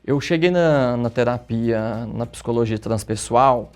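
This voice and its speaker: A man's voice: medium pitch, measured pace. A middle-aged man from Minas Gerais with a mineiro accent, a guest telling stories in a casual interview and often tagging his sentences with questions. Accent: Minas Gerais Portuguese. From Minas Gerais, Brazil. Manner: warm, storytelling, frequent tag questions.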